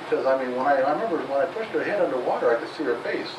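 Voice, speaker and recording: A man's voice: mid-range voice, lively intonation, racing, lo-fi sound. A man answering an interrogator's questions as a suspect in a recorded confession. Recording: distant, echoey, noisy interview room tape.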